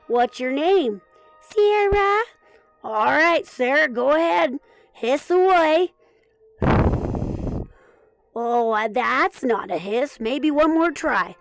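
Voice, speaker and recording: A woman voicing a bossy young snake who runs the game, loud and dismissive. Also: sits high, voice pushed up, full character voice, low-quality recording.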